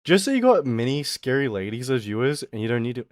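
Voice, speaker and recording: A young man streaming as a VTuber, ranting in a casual, animated way. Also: medium pitch, no echo, clean studio sound. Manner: animated, exaggerated, quick mock voices and laughs.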